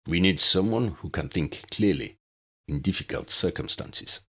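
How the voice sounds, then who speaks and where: A man voicing a senior government minister in an audiobook, deep, solemn, measured and formal. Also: lively intonation, sharp tone, slightly rough, full character voice. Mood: grave, measured.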